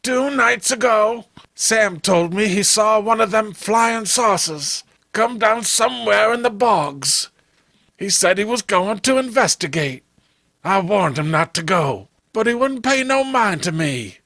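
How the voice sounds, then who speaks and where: An anguished backwoods man from a horror comic, acted out by a male reader. The voice sits high and bright, with folksy rural phrasing. He sounds pleading and overwrought, a simple man in grief. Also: animated, unhurried, no room sound, heavily acted. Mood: worried, urgent. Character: anguished backwoods swamp man grieving his brother.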